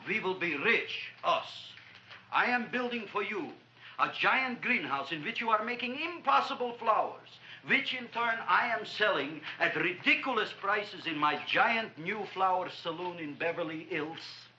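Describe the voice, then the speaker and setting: A money-minded, sarcastic shopkeeper in an old comedy film. He has a Yiddish-inflected Eastern European accent and a highish, wildly animated delivery. The soundtrack is dull. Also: unhurried, a little hiss or hum, full character voice. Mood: grandiose, delighted. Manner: theatrical, blustering, mangled syntax. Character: excitable, money-minded middle-aged flower shop owner, grandiose and sarcastic.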